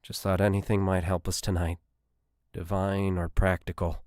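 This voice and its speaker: A man voicing an earnest female military scientist in an audiobook, faithful yet excitable. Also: low-pitched, moderate pace, no echo, studio quality, natural voice. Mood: wistful.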